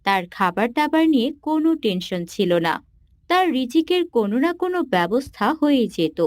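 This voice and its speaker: A woman's voice: on the high side, sing-song, moderate pace, darkish tone. A woman reading scripted narration for a cartoon moral story.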